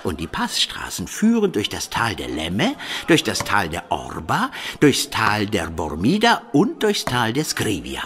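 A man's voice: on the low side, wildly swooping pitch, a little slow, few pauses, fairly bright tone, exaggerated voice. An actor playing a shrewd, earthy medieval townsman in a radio play, explaining things emphatically to a friend. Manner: emphatic, explanatory, checking the listener follows.

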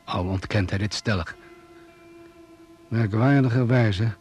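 An actor playing a composed doctor who leads crash survivors, giving a formal, precise account in a radio drama. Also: male voice, lowish voice, slow pace, darkish tone. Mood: measured, concerned.

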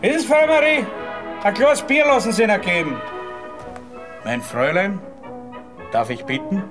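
An actor playing an offhand, breezy young soldier with a Viennese accent in a radio play. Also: male voice, high voice, animated, normal speed, music in the background. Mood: brisk, cocky. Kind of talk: radio play acting in dialect.

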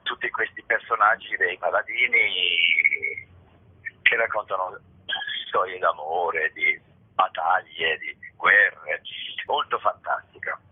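A man interviewed on radio as an actor, explaining a project haltingly and repeating words as he searches for the next one. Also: low voice, slightly rough. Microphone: telephone. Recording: tinny phone line on radio.

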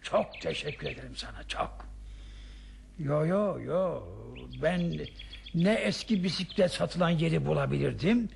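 A man's voice: on the high side, sing-song, measured pace, vocal fry, heavily acted. An actor playing an eager, effusive older man in a radio play, exclaiming and repeating his words. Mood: delighted, grateful. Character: Hulusi, eager grateful older man, excitable cycling companion. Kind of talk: Turkish radio theatre acting. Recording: faintly noisy old radio drama recording.

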